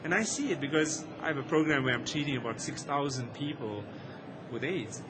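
A man, a physician, speaking on a panel in a loud, emphatic and earnest way. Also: mid-range voice, brisk, noisy background. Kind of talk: panel discussion.

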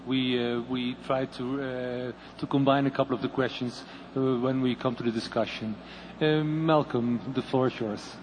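A man's voice: mid-range voice, background noise. A man moderating a conference panel, hesitant, with many uhs and restarts.

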